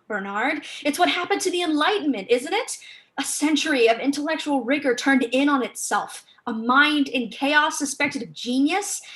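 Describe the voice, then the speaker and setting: A woman's voice: fairly high pitch, fairly bright tone, natural voice. A young woman playing an assertive woman author in a staged play reading, lecturing articulately with dry scorn. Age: young adult. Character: assertive, scornful woman author researching landscape garden history.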